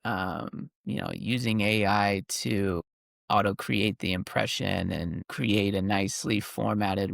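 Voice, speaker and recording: A man's voice: fairly low pitch, vocal fry, fairly bright tone, no room sound, clean studio sound. A man hosting a conversational interview, recapping points and checking them with questions. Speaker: podcast host interviewing a guest. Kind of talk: conversational interview about technology.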